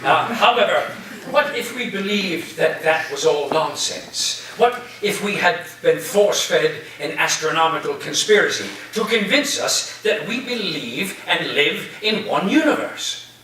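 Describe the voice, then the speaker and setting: A man in a staged play reading, playing an eccentric philosophy professor with a halting, whimsical, theatrical delivery. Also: high voice, expressive, sharp tone, full character voice. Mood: musing, provocative. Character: eccentric male philosophy professor full of wild conspiracy theories. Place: reverberant room, staged reading before listeners. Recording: distant echoey room recording, faint noise.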